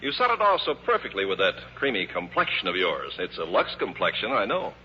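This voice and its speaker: A man's voice: low-pitched, wildly swooping pitch, fairly quick, slight background noise. A man reading a 1950s radio commercial with polished, emphatic salesmanship as the announcer. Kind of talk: 1950s radio advertising pitch. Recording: dull, reverberant vintage radio broadcast.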